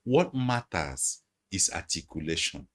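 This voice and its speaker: Low-voiced man, emphatic and repetitive. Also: sing-song, a little slow, a bit bright. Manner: emphatic, repeats phrases while explaining. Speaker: guest analyst. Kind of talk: conversational interview.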